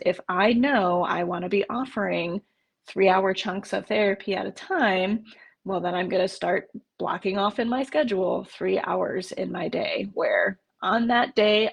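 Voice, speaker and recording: A woman with a stuffed-up nose gives a live online training to fellow therapists. Her voice is husky with a gravelly edge, and she talks in a casual, chatty way, full of "like" and "um" and restarted sentences. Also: medium pitch. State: stuffed up from allergies. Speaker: coach for therapists. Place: home, in Oregon.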